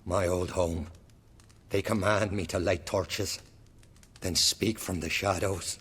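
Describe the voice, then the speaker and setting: Husky, slow, haunted clansman. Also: low-pitched, fairly even intonation, a bit bright, full character voice. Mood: haunted, reverent. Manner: slow, solemn, archaic phrasing. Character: tormented, guilt-ridden Norse clansman, devout and haunted. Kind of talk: video game cutscene acting. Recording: long hall-like reverb.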